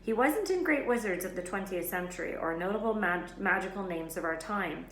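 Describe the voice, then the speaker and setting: A woman reading a children's novel aloud as an expressive storyteller. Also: mid-range voice, fairly quick. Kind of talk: expressive scripted children's book narration. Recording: echoey room with faint noise.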